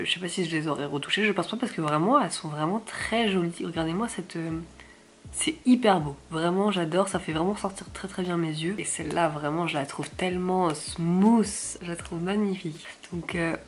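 A young woman vlogging from her living room, hoarse from a cold, chatty and self-mocking with lots of fillers. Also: lowish voice, slight background noise. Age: young adult. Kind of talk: casual vlog chat to camera.

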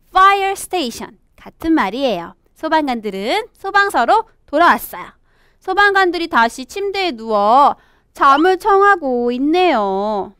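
A young woman presenting a children's storybook English lesson, reading aloud and explaining in an expressive, sing-song teacher's manner. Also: high voice, no echo, studio quality. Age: young adult. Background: background music.

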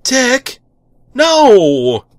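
A man's voice: very high-pitched, wildly swooping pitch, extremely slow, dry recording, pitched above the performer's own voice, exaggerated voice. A man voicing a timid, exasperated male superhero sidekick, stammering and apologetic, in a comic story reading. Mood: flustered.

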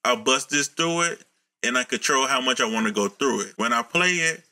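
Male producer, 30s, bright tone, relaxed tutorial delivery into a home studio condenser mic. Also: medium pitch. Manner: relaxed, explanatory, slows down reading settings. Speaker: music producer teaching vocal mixing. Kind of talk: casual tutorial walkthrough. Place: home room. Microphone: studio condenser mic on boom, headphones on.

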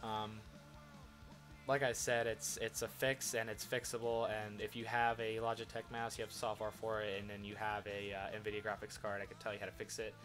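A man's voice: fairly low pitch, little pitch movement, normal speed, a bit bright, faint music behind. Congested young man rambling over gameplay. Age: young adult. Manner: rambling, lots of fillers and restarts. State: congested with a head cold. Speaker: gaming channel host. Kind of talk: casual commentary over gameplay. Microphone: desktop USB microphone.

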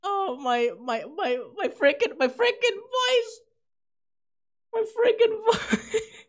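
A livestreamer chatting casually with viewers, voice hoarse and cracking from overuse, gushing thanks in an excitable, self-mocking way. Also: very high voice, slow pace. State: hoarse, losing voice. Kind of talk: casual stream chat with viewers.

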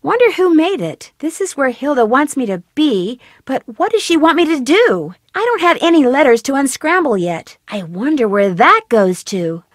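A woman's voice: sits high, big pitch swings, dry recording, studio quality. An actress voicing a curious, upbeat young female detective, chirpily talking to herself in a video game. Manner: chirpy self-talk, quick remarks to herself. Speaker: game protagonist.

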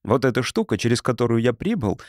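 A man's voice: mid-range voice, big pitch swings, fast talker, no echo, close to an everyday voice. A man gives voice to a mysterious, battered stranger in an audiobook tale. He is pushy and persuasive, with very mobile intonation. The recording is dry and close. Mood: evasive, assured. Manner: urgent, persuasive. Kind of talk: audiobook character acting.

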